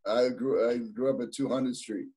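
A man's voice: medium pitch, fairly even intonation, moderate pace, dark tone. A man in his fifties from New York City with a New York accent, a podiatrist guest chatting casually on a video call, rambling with many fillers. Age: 50s. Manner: rambling, many fillers and restarts, laughs easily. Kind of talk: casual interview. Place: indoor office.

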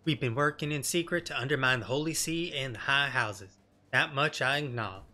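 A man voicing a blunt, sardonic woman rebel leader in a fantasy game, with colloquial, rough-edged wording. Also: medium pitch, fairly quick, pushed and bright, faint music behind. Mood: defiant, sardonic. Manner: blunt, colloquial, mildly cursing. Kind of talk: game dialogue read in character.

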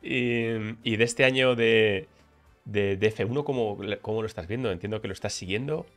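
A man's voice: on the low side, moderate pace, a bit bright, faint music behind. A man in his forties with a Spain Spanish accent, hosting a casual, colloquial interview at his gaming desk with a headset mic. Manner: friendly, colloquial, reads chat aloud. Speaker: streamer host. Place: gaming setup room, indoors.

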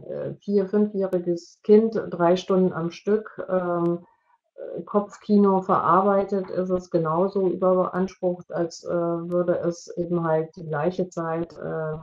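A middle-aged woman explaining as an expert in an online discussion, with measured, hesitant phrasing. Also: lowish voice, darkish tone. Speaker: expert panelist. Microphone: video call. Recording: dull, lo-fi video call audio.

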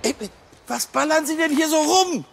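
A man's voice: very high-pitched, moderate pace, creaky, heavy background noise. An actor playing a nervous, grumbling policeman in a crime comedy audio drama, stammering and repeating words. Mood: agitated, flustered. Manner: stammering, repeats words, tags sentences with "nicht?".

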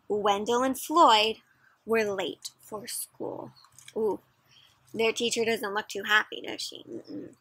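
A young woman doing an interactive read-aloud for children, speaking in an expressive, emphatic way. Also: a little high, husky, no room sound, rough recording. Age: young adult. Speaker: children's storybook reader. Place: outdoors in a playground.

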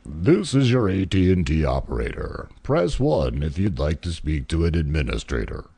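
A man's voice: very deep voice, very animated, normal speed, creaky, noisy background, full character voice. A man playing a formal phone company operator with scripted customer-service patter. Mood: mock formal.

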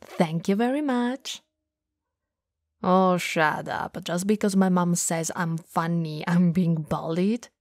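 A woman performs a playful, teasing goth older sister in a romantic ASMR roleplay. Her voice is dark and husky with breath, often slipping toward a whisper. She sits very close to the mic in a dry, intimate recording. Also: on the low side, dry recording, heavily acted. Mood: mock offended, teasing. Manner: soft, breathy, drifting into whispers. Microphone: close, dry studio mic.

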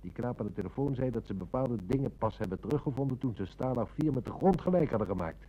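A man playing a shrewd, dry, measured police inspector in a Dutch radio play. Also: mid-range voice, animated, dark tone, close to an everyday voice, low-quality recording. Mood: businesslike.

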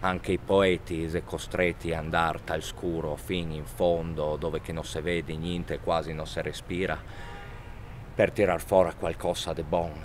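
A man, the show's technician, speaking in a with a halting, mock-poetic delivery outdoors in a village square. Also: sits low, noisy background. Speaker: show technician.

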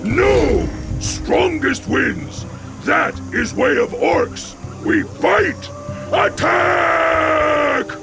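Brash orc chieftain, very gravelly, animated, slow broken speech, video game, music behind. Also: male voice, very high voice, raised voice, full character voice. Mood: belligerent. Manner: broken, grunted short sentences. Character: brash, war-hungry male orc chieftain, brutish and loud. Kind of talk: video game cutscene acting.

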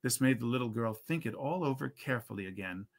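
Gravelly middle-aged man reading a storybook. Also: mid-range voice, animated, fast talker. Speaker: reader at a literary reading series. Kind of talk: expressive storybook reading of a 19th century holiday tale. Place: indoors at a computer, live stream.

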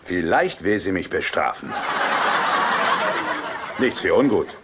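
A male actor dubbing a blunt, deadpan middle-aged father in a sitcom. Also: low voice, takes it slowly, over a noisy crowd, very noisy, full character voice. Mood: blunt, amused. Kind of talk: dubbed sitcom dialogue.

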